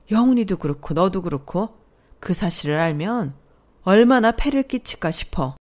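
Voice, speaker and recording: A woman's voice: medium pitch, a little mellow, close to an everyday voice. A woman voicing a furious older mother-in-law who scolds her daughter-in-law in a stern, raised voice.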